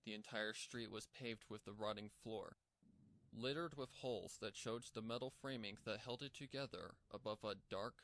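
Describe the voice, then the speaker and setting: A man narrates a horror tale in a dramatic storytelling style. His voice sits on the low side. The recording carries plainly audible noise behind him. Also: fairly bright tone. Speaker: fan fiction narrator.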